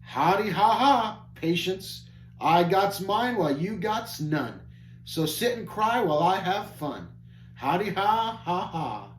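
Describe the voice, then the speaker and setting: A man voicing a gruff, greedy boy who shouts at servants and taunts his brother. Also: high voice, expressive, takes it slowly, voice pushed up. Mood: taunting. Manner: shouting, snapping, jeering. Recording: echoey room, faint hum.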